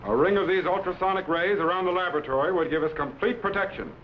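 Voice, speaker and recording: A man's voice: high voice, quick pace, close to an everyday voice. An American man playing an authoritative scientist captain in a vintage sci-fi serial, explaining things in an assured, patient mentor's manner. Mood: calm, instructive. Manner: didactic, assured. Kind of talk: 1950s sci-fi serial acting. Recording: dull, noisy vintage broadcast soundtrack.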